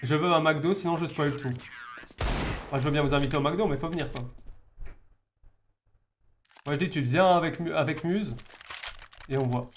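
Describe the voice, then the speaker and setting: Higher-voiced male game caster, noisy stream. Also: normal speed, long pauses. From France. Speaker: esports caster. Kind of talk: live video game match commentary with casual asides. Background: game gunfire and clicks.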